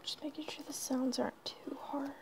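A woman narrating ASMR in a breathy whisper, halting and informal, on a noisy home recording. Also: high-pitched, little pitch movement. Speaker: ASMR video creator.

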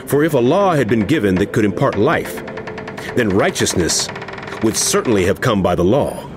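An actor playing an impassioned male apostle in a dramatized scripture reading, speaking emphatically and deliberately to a wayward flock. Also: fairly low pitch, sing-song, background noise. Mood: earnest, instructive. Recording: music under the voice. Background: background music.

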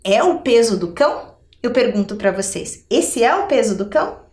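A woman's voice: on the high side, very animated, moderate pace, darkish tone, distant mic. A middle-aged woman teaching a televised maths lesson step by step, often checking in with questions. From Brazil. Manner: didactic, checking in with rhetorical questions. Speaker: adult education maths teacher. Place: indoor TV lesson studio. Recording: roomy echo, somewhat off the mic.

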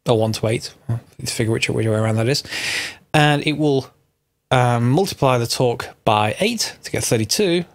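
A man's voice: mid-range voice, expressive, normal speed. A man with a stuffy, bunged-up voice from a cold, casually narrating a game mod tutorial, thinking aloud and correcting himself as he goes. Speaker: Minecraft mod tutorial host.

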